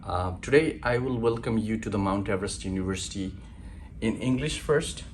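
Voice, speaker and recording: A man in his forties with a Nepali accent speaks in a fairly low voice, earnest and plain, with frequent "uh" and "um" fillers. He talks like a founder giving a welcome address. A faint hum sits behind him. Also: normal speed. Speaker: university founder. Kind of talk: promotional welcome talk.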